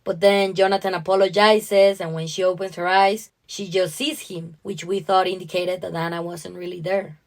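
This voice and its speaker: A woman's voice: on the low side, flat delivery, normal speed, hardly any pauses. A woman co-hosting a writing podcast, discussing a story conversationally in an appreciative, explanatory way.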